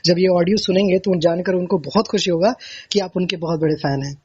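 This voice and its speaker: A man hosting a conversational phone interview, effusive and repeating himself, with a husky, high voice for a man. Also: fairly even intonation, fairly quick, darkish tone. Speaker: interviewer, radio-style host. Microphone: recorded phone call. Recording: dull, lo-fi phone call recording.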